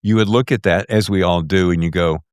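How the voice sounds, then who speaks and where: Very deep-voiced man hosting a chat. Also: fairly quick, clean tone, dry recording, clean studio sound. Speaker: podcast host interviewer. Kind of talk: casual book interview chat.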